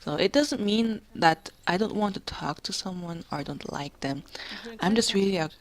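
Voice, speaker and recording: Low-voiced young woman, soft and halting. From Jamaica. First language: English. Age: 20s. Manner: soft-spoken, halting, quiet and calm. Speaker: gamer. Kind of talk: personal commentary.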